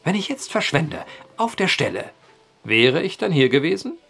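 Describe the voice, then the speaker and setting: An actor playing a brooding, eloquent storyteller at a bar in an audio drama, wordy and theatrical. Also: male voice, fairly high pitch, big pitch swings, normal speed, vocal fry, fairly bright tone, breathy edge, soft background music, exaggerated voice. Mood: brooding, insistent.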